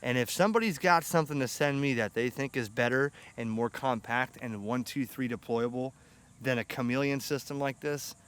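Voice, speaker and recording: A man's voice: mid-range voice, a bit bright. A middle-aged American man chatting informally and enthusiastically in a rambling, unscripted vlog about his hobby gear. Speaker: ham radio hobbyist and video host. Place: outdoors on grass beside a pond under shade trees. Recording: outdoor camera audio with clear ambient noise.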